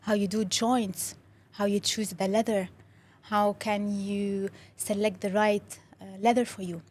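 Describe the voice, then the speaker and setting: A woman's voice: mid-range voice, flat delivery, unhurried, a little mellow. A young woman from Syria with a Syrian Arabic accent, a native Arabic speaker, talking as an interview guest in a friendly way with many fillers and restarts. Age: young adult. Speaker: interview guest, creative director. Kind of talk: casual interview talk.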